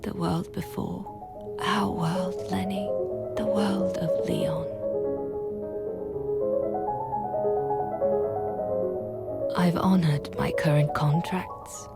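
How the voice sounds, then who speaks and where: A grieving woman in a story game, narrating her letters at a very slow, hushed pace. Her voice is sorrowful and intimate, with a creaky edge. Music plays clearly behind her. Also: fairly low pitch, animated, heavily acted. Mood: wistful. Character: grieving woman writing letters to a dear friend.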